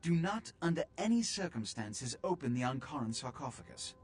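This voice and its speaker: An actor playing a pompous, aristocratic vampire prince in a video game, speaking formally with cold command. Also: male voice, medium pitch, very animated, moderate pace, a little mellow, natural voice. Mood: stern, commanding. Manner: pompous, formal, precisely worded. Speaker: game character giving orders. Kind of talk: theatrical video game acting.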